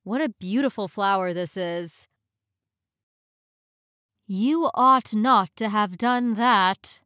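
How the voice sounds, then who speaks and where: A woman reading short English practice phrases in a clear, measured way, each one said twice. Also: mid-range voice, fairly bright tone, no room sound, studio quality.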